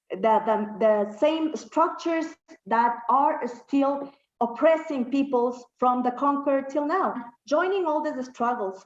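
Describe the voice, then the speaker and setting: Hesitant woman in an echoey room. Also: on the high side. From Ecuador. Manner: hesitant, searching for words, many fillers. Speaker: health activist. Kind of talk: recorded video statement. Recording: echoey, dull lo-fi room recording.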